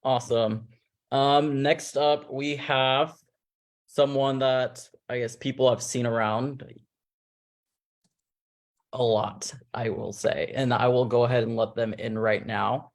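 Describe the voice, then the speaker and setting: A man introduces players one by one in a loose, rambling way. His voice sits at an ordinary middle pitch, and he leans on fillers while he works out what to say next. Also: fairly even intonation, slow pace. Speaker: host revealing a game cast. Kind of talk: casual online cast reveal.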